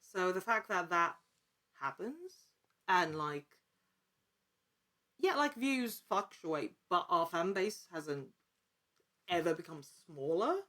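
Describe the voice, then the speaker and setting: A woman's voice: on the low side, animated, slow pace, long pauses, a bit bright. A woman talking casually as an interview guest, earnest and thinking aloud in broken phrases. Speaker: podcast guest, actor and actual-play storyteller.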